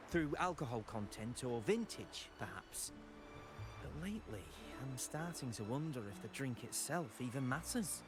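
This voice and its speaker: An actor voicing an inquisitive, polite, dreamy code enthusiast in a video game, musing and hesitant. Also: male voice, mid-range voice, very animated, moderate pace, natural voice. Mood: thoughtful, polite. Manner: musing, hesitant, murmuring hums between thoughts. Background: game music.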